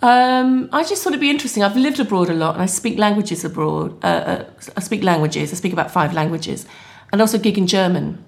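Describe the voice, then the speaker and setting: A woman from Britain, a stand-up comedian, chatting fast and laughing easily as a podcast guest. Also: medium pitch, lively intonation, creaky. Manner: fast-talking, quick laughs. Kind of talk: casual interview chat.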